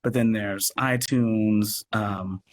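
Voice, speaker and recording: A man's voice: on the low side, steady tone, slow pace. A man chatting casually as an interview guest, speaking emphatically and with enthusiasm, often restarting his sentences and adding asides. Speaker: guest, theatre company producer. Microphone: video call. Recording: noisy live video call.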